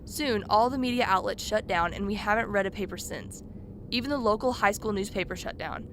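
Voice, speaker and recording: A woman acting as a curious true-crime podcaster who chats informally to her listeners. Her pitch sits in an ordinary female range, with faint noise behind her. It has the feel of a radio drama told as a podcast. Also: fairly quick, natural voice. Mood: chatty, intrigued. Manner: informal, chatty storytelling. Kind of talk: conversational podcast narration in a radio play.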